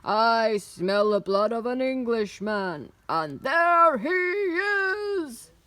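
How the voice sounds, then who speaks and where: Lady doing a big scary storybook giant, super loud n booming, drags words out slow. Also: very animated, dry recording, exaggerated voice. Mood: roaring, furious. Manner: booming, drawn-out menacing chant. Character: huge scary hungry male giant, menacing and roaring.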